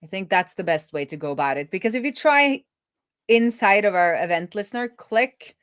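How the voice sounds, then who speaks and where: A woman hosting a casual live coding stream, thinking out loud as she works and often trailing off and rephrasing. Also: medium pitch, animated, normal speed, clean tone, no room sound, studio quality. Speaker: host, web developer live coding.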